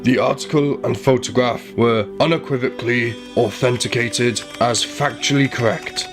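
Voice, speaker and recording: A man's voice: medium pitch, normal speed, natural voice. Man playing an earnest investigator over music. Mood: sombre, factual. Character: earnest male investigator recounting a tragic case. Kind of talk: radio play acting and scripted narration.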